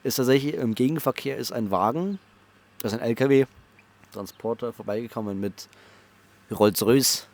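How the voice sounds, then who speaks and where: A man's voice: medium pitch, moderate pace, long pauses, a little mellow. A young man with a Bavarian accent, a podcast co-host joking and teasing in casual banter.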